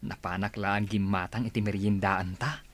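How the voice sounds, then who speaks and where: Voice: deep voice, little pitch movement, normal speed, close to an everyday voice. A performer playing an easygoing village farmer man in an Ilocano radio comedy drama, hesitant and good-natured, with an Ilocano accent. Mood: teasing, mild.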